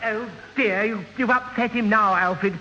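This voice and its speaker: An actor playing a prim, pompous gentleman guest, fussy and formal, in a radio sitcom. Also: high-pitched, a little slow. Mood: flustered, proper. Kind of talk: 1970s radio sitcom acting. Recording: muffled old radio, slight hiss, echoing hall.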